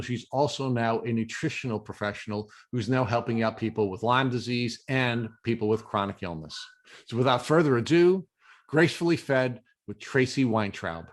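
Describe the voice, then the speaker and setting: An American man from New York hosting a podcast interview, speaking in deliberate, formally phrased sentences. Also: medium pitch, animated. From New York, USA.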